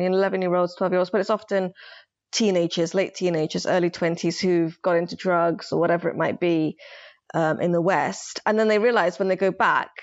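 A woman from Somalia speaking thoughtfully in a casual book conversation, pausing and restarting as she reflects. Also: on the low side. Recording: slightly dull lo-fi sound.

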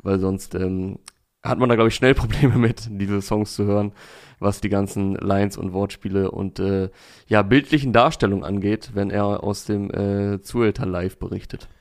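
A man hosting a casual music review podcast, a little hoarse, chatty, with frequent fillers. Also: lowish voice, moderate pace. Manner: relaxed, talkative, with frequent fillers. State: slightly hoarse. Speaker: podcast host.

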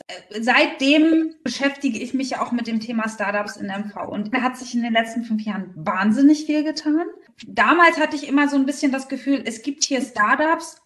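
A woman with a fairly high voice, recorded at a distance from the mic in an echoey room. She answers interview questions conversationally as a founder, stressing her points by repeating words. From Berlin, Germany. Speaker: startup founder being interviewed.

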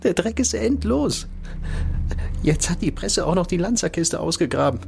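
Embattled male spy chief: high-pitched, agitated, officious, with noticeable background noise. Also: expressive, normal speed, vocal fry, darkish tone, heavily acted. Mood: agitated, exasperated. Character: beleaguered male intelligence agency chief, defensive and exasperated. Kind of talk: radio drama acting.

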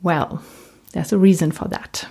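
A woman's voice: lowish voice, fairly even intonation, measured pace, creaky, warm tone, breathy edge. A woman from Germany, a native German speaker with a German accent, presenting a solo podcast as host and coach in a conversational way.

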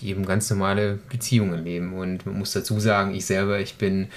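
A man's voice: sits low, little pitch movement, quick pace. A man in his thirties, a podcast guest, talking reflectively in casual conversation with many hesitations. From rural Germany. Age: 30s.

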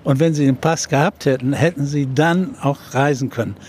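An older man, a veteran journalist, recalls a historic press conference in an interview. His telling is halting and full of filler sounds, his pitch sits in the middle range, and a faint noise runs underneath. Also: darkish tone. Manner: hesitant storytelling with many fillers. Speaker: journalist and TV presenter. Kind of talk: recounting a historical event in an interview.

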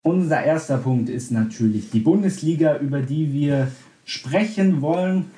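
A man's voice: fairly high pitch, normal speed, dark tone, roomy sound. A man born in Berlin, hosting a casual football podcast, talking in a chatty way with frequent restarts and fillers. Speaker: podcast host.

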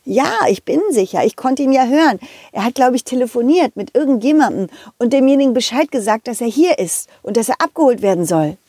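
A woman voicing an eager, loyal talking dog in a children's audio story, excitedly repeating words. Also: fairly high pitch, brisk, dry recording, studio quality, pitched above the performer's own voice. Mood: eager helpful. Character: eager, loyal, confident talking puppet dog, detective helper.

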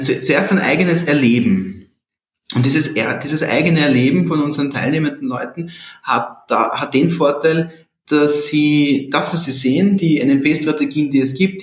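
A man who works as an NLP trainer, explaining his methods in a relaxed conversation with frequent fillers and restarts. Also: medium pitch. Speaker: NLP trainer and life coach. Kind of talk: casual podcast conversation. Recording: roomy echo.

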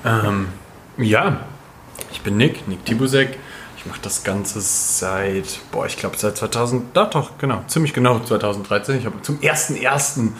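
A man's voice: fairly low pitch, moderate pace, a little hiss or hum. A man chatting casually as a podcast co-host, dropping English slang into his talk. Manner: peppers speech with English slang. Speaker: podcast co-host, calisthenics coach.

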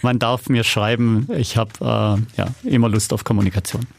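A man's voice: lowish voice, steady tone, normal speed, gravelly, a little hiss or hum. A man speaking casually and conversationally as a guest on a podcast. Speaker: podcast guest, audio drama producer.

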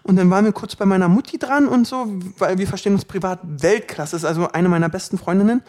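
A man in his twenties from Berlin with a light Berlin accent, telling stories from his life as an interview guest, quick and joking, laughing often. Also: sits high, a little mellow. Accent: light Berlin German. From Berlin, Germany. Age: 20s. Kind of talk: casual storytelling interview.

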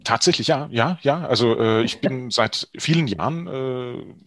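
A middle-aged man, a professional voice actor and news speaker, talking as an interview guest in a lively, expressive way with frequent hesitations. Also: medium pitch, unhurried, no echo, studio quality.

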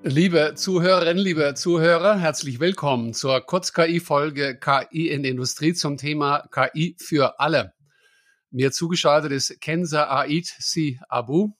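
A man's voice: on the high side, unhurried, a bit bright, no echo, studio quality. A man hosting a podcast interview, friendly and conversational with a few hesitations. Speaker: podcast host.

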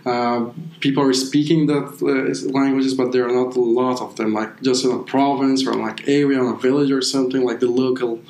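A man's voice: medium pitch, little pitch movement, a little fast, a little mellow, a little hiss or hum. A young man from Afghanistan who is a native Persian speaker, chatting casually in an interview and filling his sentences with "like". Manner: rambling, leans heavily on "like" as a filler. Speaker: interview guest. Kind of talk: casual chat. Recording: roomy, echoey room.

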